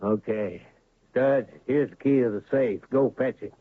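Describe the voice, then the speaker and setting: An actor playing a crooked, domineering town boss in an old radio drama, talking in a blustering, threatening way. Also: male voice, mid-range voice, measured pace, slightly rough, dry recording. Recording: tinny lo-fi old radio broadcast.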